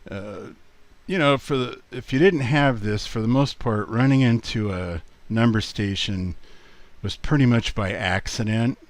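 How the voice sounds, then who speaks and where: A man with a slightly low voice presents a casual on-screen tutorial. He talks very slowly and haltingly, explaining things step by step in an unhurried way. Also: no room sound. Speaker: video creator and presenter.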